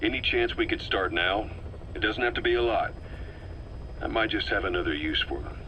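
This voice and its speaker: A man's voice: lowish voice, moderate pace, pushed and bright, slight background noise, poor audio. An actor playing a tough, suspicious military man who questions bluntly in a video game cutscene. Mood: inquisitive.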